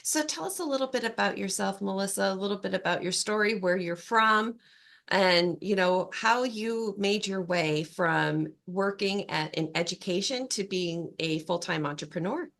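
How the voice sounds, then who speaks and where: A woman hosting a podcast as an empowerment coach, upbeat and encouraging, her voice slightly hoarse from a cold. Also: medium pitch, normal speed, fairly bright tone. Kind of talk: scripted intro then interview chat.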